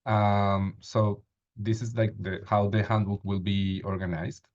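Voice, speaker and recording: A man in his thirties moderates an online meeting over his webcam, speaking slowly in a somewhat low voice. He pauses often with fillers. The audio is dull and lo-fi. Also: little pitch movement, a little mellow. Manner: hesitant, frequent fillers. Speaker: meeting host. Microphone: video call webcam.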